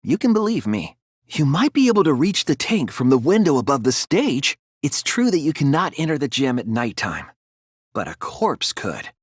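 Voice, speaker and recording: An earnest young male detective from an anime video game. His voice is husky and swings widely in pitch as he reasons things through with deliberate care. The recording is dry and close, with no room sound. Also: huge pitch swings, no echo. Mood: urgent, realizing, then earnest, reasoning. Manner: earnest, deliberate, deductive, sometimes hesitant. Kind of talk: dramatic anime game dialogue.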